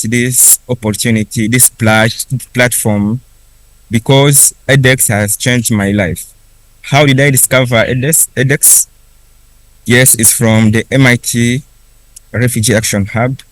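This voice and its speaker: A young man whose first language is Kiswahili, telling his personal story slowly in English, with pauses and restarts. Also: mid-range voice, no room sound, rough recording. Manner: unhurried, with frequent restarts and pauses. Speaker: refugee speaker at a conference.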